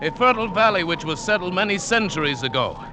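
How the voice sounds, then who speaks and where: An actor playing an earnest, superstitious South American mountain guide telling his perilous adventure in a measured, dramatic 1940s radio style. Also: sits high, animated, noisy background. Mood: grave, wary. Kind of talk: 1940s-50s radio drama acting and narration. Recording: vintage radio recording with music.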